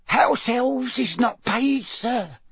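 A man voicing a tiny, timid female house elf servant in an audiobook, squeaky and quivering, deferential and anxious, with broken grammar. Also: animated, slightly rough, no room sound, full character voice. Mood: shocked, scolding. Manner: subservient, broken grammar, drawn-out phrasing.

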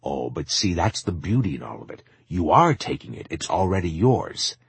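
Audiobook role: gentle, dreamy old man, acted by the narrator, deep and husky, encouraging. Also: very animated, moderate pace, dry recording, exaggerated voice. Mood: warm, wistful. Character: kindly, dreamy, whimsical old shopkeeper man. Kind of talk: audiobook character acting.

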